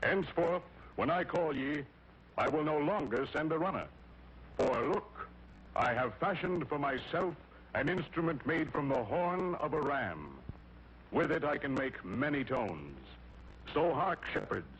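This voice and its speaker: A man's voice: a little high, expressive, measured pace, a bit bright. A man voicing a commanding, solemn shepherd king with stately, declamatory speech in a vintage children's cartoon. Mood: grand, commanding. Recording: dull, noisy vintage cartoon soundtrack.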